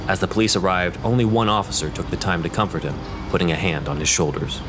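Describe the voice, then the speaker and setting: Very deep-voiced male narrator. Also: fast talker, background noise. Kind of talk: scripted audio drama narration.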